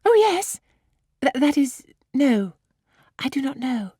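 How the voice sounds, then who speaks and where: A woman's voice: high-pitched, sing-song, slow pace, dark tone, no room sound, studio quality, pitched above the performer's own voice, exaggerated voice. A woman voicing an eager, naive, easily flustered young English girl in an audiobook of a classic novel, chattering in a breathless, hesitant way.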